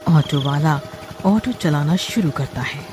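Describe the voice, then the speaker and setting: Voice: medium pitch, expressive, a little slow. A narrator reading a scripted horror story for a cartoon. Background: background music.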